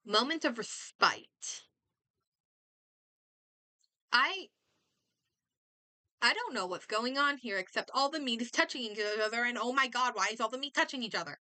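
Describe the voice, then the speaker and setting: Bright-voiced woman streamer with lively pitch. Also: moderate pace, no echo. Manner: muttering to herself, thinking aloud. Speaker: game streamer. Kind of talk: casual livestream chat while playing a game.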